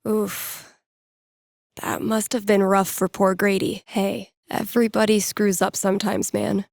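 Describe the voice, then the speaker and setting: A woman's voice: medium pitch, gravelly, no room sound, studio quality. An actress voicing a wry, playful young woman who hosts small-town radio in a video game, with a casual, irreverent, conversational delivery. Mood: sincere, supportive. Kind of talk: casual, jokey radio ad reads and call-in chat.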